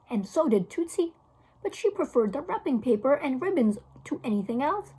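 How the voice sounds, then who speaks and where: A woman with a husky voice reading a children's novel aloud as a teacher would for a class. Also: sits high, low-quality recording.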